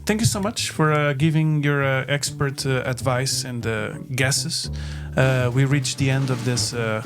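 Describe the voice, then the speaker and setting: A man from Portugal, a native Portuguese speaker, hosting a casual podcast chat in a reflective, halting way. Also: medium pitch. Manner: reflective, halting with frequent fillers. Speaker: podcast host.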